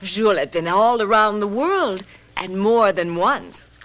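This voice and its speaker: A woman acting in a radio drama as an affectionate, excitable young fiancée, with an emotive, theatrical delivery. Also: medium pitch, unhurried. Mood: soothing, coaxing.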